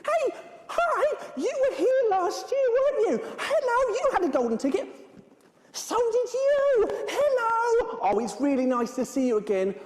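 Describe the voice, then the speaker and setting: A woman playing an excitable, scatty, friendly adventurer in an interactive children's stage show, exaggerated and playful as she talks straight to the children. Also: very high voice, a little slow, exaggerated voice. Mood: friendly, excited. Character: excitable, scatty, friendly adventurer setting off by hot air balloon. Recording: echoey hall with long reverb.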